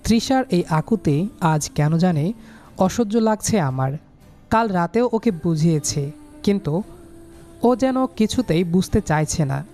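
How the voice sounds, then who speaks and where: A man's voice: sits high, animated, measured pace, dark tone, faint music behind. A man narrating a scripted love story in the first person, in an emotive, dramatic manner.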